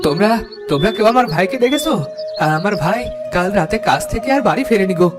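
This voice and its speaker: Anxious cartoon villager missing a sibling, acted by a man: high, swooping, pleading and stuttering. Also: expressive, brisk, over music. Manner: pleading, stammering. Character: worried villager searching for a lost brother. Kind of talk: cartoon melodrama acting.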